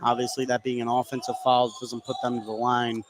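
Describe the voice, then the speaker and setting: A man's voice: mid-range voice, completely flat delivery, fairly quick, a crowd in the background. An American man working as a color commentator, giving analysis during a live basketball broadcast. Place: high school basketball gym. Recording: faint gym noise behind the voice. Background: gym ambience.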